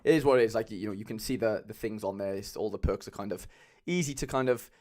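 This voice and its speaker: A young man with a Cardiff accent chatting casually as a livestream host, loose and rambling with lots of fillers, speaking into a mic on a boom arm at home. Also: fast, a little mellow. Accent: Cardiff Welsh. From Wales. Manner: chatty, loose, many fillers, calls viewers lads.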